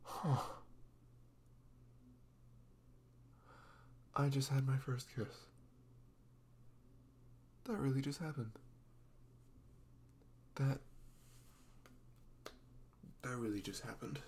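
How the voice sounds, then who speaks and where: A man playing a sweet, goofy, nervous young boyfriend in an intimate ASMR roleplay, halting, flustered and stammering as he talks. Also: mid-range voice, darkish tone, slight background noise, lightly acted. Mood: awed. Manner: halting, flustered, stammering, apologetic, often giggly.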